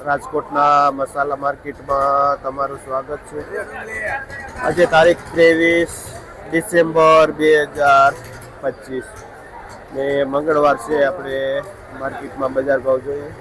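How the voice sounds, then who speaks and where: Crowded outdoor market, man, Saurashtra Gujarati accent, slightly high voice, matter-of-fact vlog reporting. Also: monotone, a little slow, low-quality recording. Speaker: market vlogger reporting produce prices. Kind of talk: on-the-spot vlog commentary with brief questions to vendors. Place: busy outdoor vegetable market. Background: crowd chatter and traffic.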